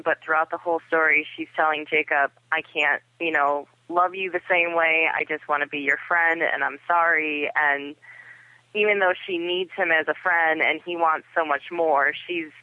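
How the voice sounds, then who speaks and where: A dry, thin phone line carries a man with a fairly high voice. He is a guest on a podcast, talking through his opinions in a casual way. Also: steady tone, normal speed, dry recording. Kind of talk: casual discussion. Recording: dry, tinny telephone line.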